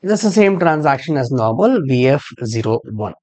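A man talks through a software tutorial at a middling pitch. He explains each step in order, as a trainer would, and spells out codes as he goes. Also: sing-song, moderate pace. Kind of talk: screen-share tutorial narration.

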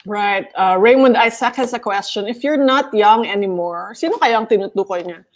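A woman's voice: mid-range voice, normal speed. A woman hosting an interview, reading viewer questions aloud and adding Tagalog asides. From Philippines. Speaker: interview host.

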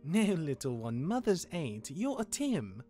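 A man playing a sardonic, awkward immortal demigod older brother, sarcastic and halting, in a fantasy game roleplay. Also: on the high side, sing-song, mellow, soft background music. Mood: wry, resigned. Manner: sarcastic, halting when flustered.

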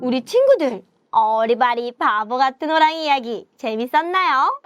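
A woman telling a story as a children's language teacher, with clear, deliberate teaching and repeat-after-me prompts. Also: high-pitched, big pitch swings, no echo, muffled recording, faint music behind. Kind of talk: animated storytelling lesson for young children.

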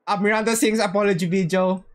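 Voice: medium pitch, steady tone, quick pace. A livestreamer giving casual, slangy, teasing game commentary to chat, with some swearing. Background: game music.

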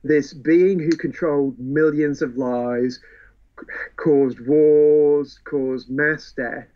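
A man's voice: fairly high pitch, steady tone, slow. A man in a casual book discussion, telling the story with wry relish. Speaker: podcast co-host. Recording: dull, muffled lo-fi audio.

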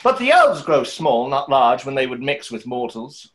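A man's voice: mid-range voice, very animated, normal speed, a bit bright. A young man playing a mysterious cloaked stranger who poses as a fairy, speaking in lofty, poetic riddles in a play reading. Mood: grand, solemn. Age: young adult. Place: small room at home, on a video call.

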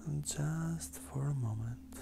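A meditation guide speaking softly and breathily, often close to a whisper, in a gentle, unhurried manner with long pauses. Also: mid-range voice, creaky, noisy background. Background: ambient meditative music.

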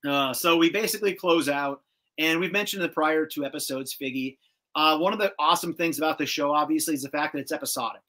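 A man with a bright, forceful voice pitched a bit high hosts a casual rewatch chat. He retells scenes in an animated, slangy way and swears now and then. It sounds like a video call. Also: fast. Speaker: host. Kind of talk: casual chat recapping a cartoon episode. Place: indoor room with shelves of collectibles, on a video call.

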